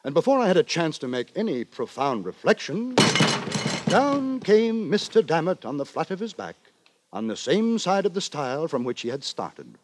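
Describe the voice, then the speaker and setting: A man playing a pompous, wry gentleman narrator in a 1950s radio drama, telling his tale with ornate, mock-solemn deliberation. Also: on the high side, very animated, normal speed, very noisy. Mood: wry, astonished. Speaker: narrator and lead actor. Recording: dry 1950s radio with music.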